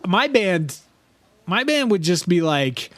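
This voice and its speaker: High-voiced man, chatty and animated. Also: slow pace, no room sound. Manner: animated, laughs often, trails off and restarts. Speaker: podcast co-host. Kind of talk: casual chat.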